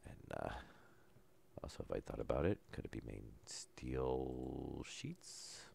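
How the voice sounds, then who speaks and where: A man calmly talking through a survival game as he plays, low-key and unhurried, with no put-on enthusiasm. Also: creaky, husky. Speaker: gameplay commentator. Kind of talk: casual let's-play commentary.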